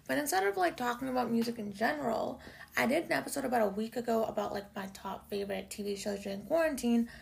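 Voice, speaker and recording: A young woman from Canada hosts her own podcast, chatting loosely and swearing as she goes. Her voice is low and raspy, with heavy vocal fry and a slightly raised pitch for a woman. She fills her talk with "like" and "y'all". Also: fast talker, a little hiss or hum. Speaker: podcast host. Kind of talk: casual solo podcast chat. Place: home room in Toronto.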